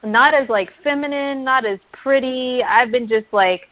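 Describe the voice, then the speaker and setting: A woman's voice: high-pitched, normal speed, no room sound. A young woman, a mother, co-hosting an astrology show, chatting in a self-deprecating way with lots of "like". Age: young adult. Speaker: astrology talk show co-host. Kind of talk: casual chat. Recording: dry tinny phone line.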